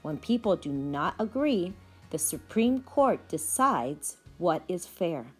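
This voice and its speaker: A patient storybook aunt, voiced by a woman reader explains things to her young nieces. She speaks slowly and clearly stresses key words with a lifting pitch, in a slightly husky voice. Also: sing-song, soft background music, heavily acted. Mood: patient, explaining. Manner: explanatory, measured.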